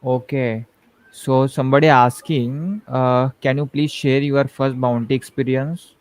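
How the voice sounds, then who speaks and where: A man's voice: medium pitch, fairly even intonation, a little slow, a little mellow, no echo. A man hosting a live-stream interview, reading out questions hesitantly with many fillers. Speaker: host.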